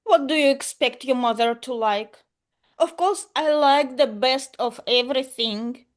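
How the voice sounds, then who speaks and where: A woman playing a vain, wealthy middle-aged mother who brags about having the best of everything, in a put-on British accent. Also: high-pitched. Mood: haughty. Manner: haughty, boastful, unhurried. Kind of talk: improvised comedy scene.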